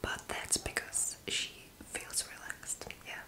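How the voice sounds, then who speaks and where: A young woman speaks entirely in a breathy whisper, as in an ASMR roleplay. She takes her time over each short phrase. Her delivery has a sassy, dry playfulness. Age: young adult. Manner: unhurried, sassy, playfully deadpan. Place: small room indoors.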